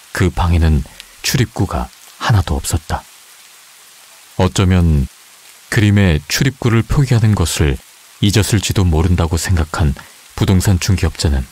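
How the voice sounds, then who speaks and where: A man calmly narrating a scripted urban legend in an even, matter-of-fact way. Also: sits low, a little hiss or hum. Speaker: storyteller.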